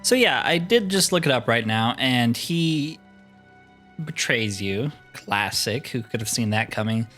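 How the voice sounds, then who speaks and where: A man's voice: mid-range voice, animated, a little slow, fairly bright tone. Man casually narrating his game, music behind. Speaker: gaming video host. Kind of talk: casual game playthrough commentary.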